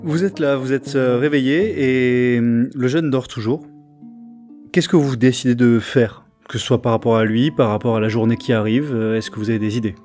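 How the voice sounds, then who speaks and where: A man narrating as game master of a tabletop game, halting with many fillers and restarts. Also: mid-range voice, a little mellow, soft background music.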